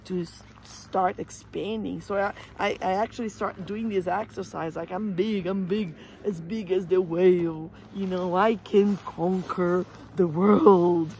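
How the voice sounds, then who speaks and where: A middle-aged woman from Brazil with a Brazilian Portuguese accent, telling a personal story in an animated, emphatic way, recorded outdoors on a phone held close. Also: on the low side, vocal fry, a little hiss or hum. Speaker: hiking guide and empowerment coach. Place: outdoors in desert scrubland at dusk. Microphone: camera or phone at close range.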